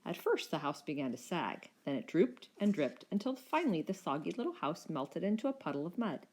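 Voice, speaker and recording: A woman with a fairly low voice narrates a children's tale. Her reading is expressive and scripted, as a storyteller for young listeners. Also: brisk.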